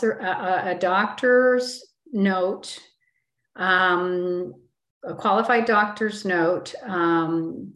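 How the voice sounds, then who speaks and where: A middle-aged woman presenting and explaining in an informal, conversational way, walking through personal examples with frequent ums. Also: lowish voice. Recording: echoey room.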